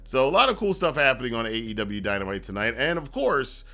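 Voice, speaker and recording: A man with a medium-pitched voice hosts a wrestling review. He talks in a casual, conversational way, wandering into asides and repeating phrases for emphasis. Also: big pitch swings, fast, sharp tone, soft background music.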